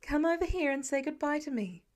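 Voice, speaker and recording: A woman voicing a patient, gently firm adoptive mother in a story reading, with measured delivery. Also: high-pitched, lively intonation, voice pushed up. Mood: patient, wry.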